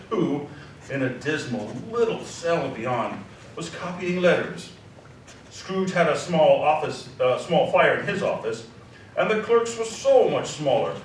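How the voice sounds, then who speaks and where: Male narrator in a very echoey room. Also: on the high side. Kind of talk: scripted storybook narration for live radio drama. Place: live community theatre performance. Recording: roomy live stage, distant mic, faint noise.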